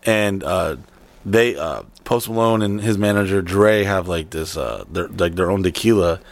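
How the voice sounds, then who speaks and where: A man's voice: sits low, moderate pace, vocal fry. A man in casual chat, telling a rambling, swear-heavy story.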